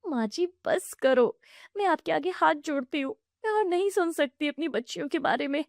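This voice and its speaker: A woman voicing a gentle widowed young mother who pleads earnestly. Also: high-pitched, animated, moderate pace, creaky, no echo, studio quality, pitched above the performer's own voice. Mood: pleading.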